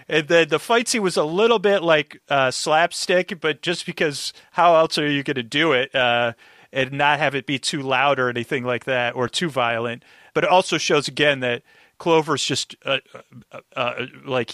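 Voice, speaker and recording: A man's voice: a little high, pushed and bright, no room sound, clean studio sound. A man co-hosting a podcast, casually discussing and reviewing a drama. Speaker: podcast co-host. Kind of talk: casual chat, reviewing a radio show.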